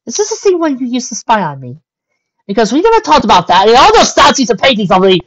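A man's voice: very high-pitched, wildly swooping pitch, quick pace, a bit bright, dry recording, lo-fi sound, voice pushed up, exaggerated voice. A man voicing a refined young female unicorn in a storybook reading, tender and distressed with emotional pleading. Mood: curious, indignant.

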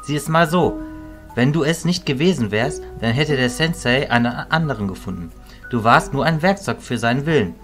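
A man playing a calm, reasoning mentor in a fantasy audio drama, measured, philosophical and reassuring. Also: mid-range voice, natural voice. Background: music.

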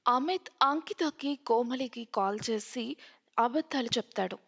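A woman's voice: fairly high pitch, lively intonation, measured pace, creaky, fairly bright tone, husky. A woman giving scripted narration for a cartoon story. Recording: muffled, long hall reverb.

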